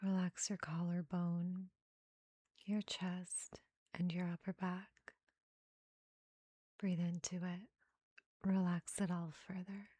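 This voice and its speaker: A woman guides a meditation in a soft, breathy near-whisper. Her delivery is very slow and evenly pitched, with gentle short phrases separated by pauses. The tone is dark and mellow, made to soothe. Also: flat delivery, no echo.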